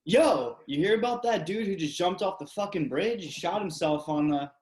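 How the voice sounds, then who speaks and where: A man's voice: high voice, expressive, moderate pace, darkish tone. A man reading the part of a drunk, reckless man in his twenties in a play, loose, crude and slangy, on a video call. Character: drunk, reckless twenty-six-year-old party guy. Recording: echoey room on video call.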